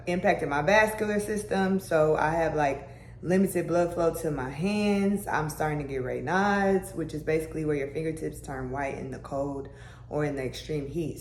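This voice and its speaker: A young adult woman with a deeper voice, talking casually to her viewers in a chatty vlog manner. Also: a little mellow. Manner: chatty, conversational, with "y'all" and "baby" asides. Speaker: vlogger. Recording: echoey room with faint background hum.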